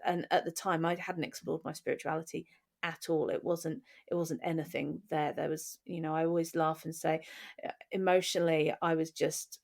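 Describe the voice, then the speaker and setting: A woman's voice: low-pitched, creaky, a little mellow. A woman in her forties with an English accent, co-hosting a podcast and candidly telling her own story in a reflective way. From England. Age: 40s. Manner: reflective, candid, restarting phrases. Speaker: podcast co-host. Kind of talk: personal storytelling in conversation.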